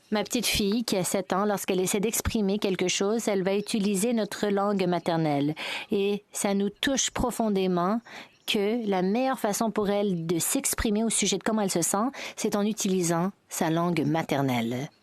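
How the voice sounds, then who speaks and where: A woman with a fairly low voice speaks in the measured cadence of a formal parliamentary address, now and then restarting a word. The sound is dry and close, with no room echo. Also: a little mellow.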